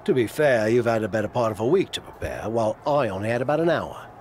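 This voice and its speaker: A man's voice: medium pitch, expressive, fast, darkish tone, slight background noise, lightly acted. A man playing a veteran wrestler turned mentor in a game cutscene, rambling and self-deprecating yet encouraging. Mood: earnest, rousing. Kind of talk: video game cutscene acting.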